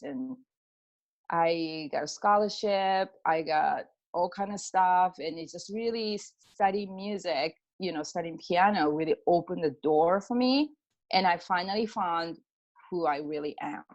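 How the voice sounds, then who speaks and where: Lower-voiced Japanese-accented woman, casual. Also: unhurried, lo-fi sound. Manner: informal, peppers talk with "like" and acts out quoted exchanges. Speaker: guest, pianist and energy healer. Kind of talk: casual personal storytelling in an interview.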